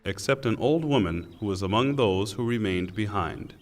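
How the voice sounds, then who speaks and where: A man reading a scripture translation in a solemn, formal, deliberate way, with pauses. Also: lowish voice, animated. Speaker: translation reader. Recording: long hall reverb.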